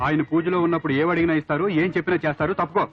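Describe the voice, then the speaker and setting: A man's voice: on the high side, little pitch movement, racing, lightly acted. An actor playing an impatient, brusque young man in a Telugu comedy film. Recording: dull film soundtrack, faint music.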